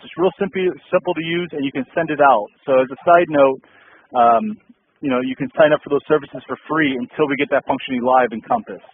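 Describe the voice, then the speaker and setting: A man's voice: on the high side, a little hiss or hum. A man hosting a business update call, talking casually with many ums and uhs and repeating his points. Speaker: host of a dealer update call. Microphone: phone line. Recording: tinny dry phone line.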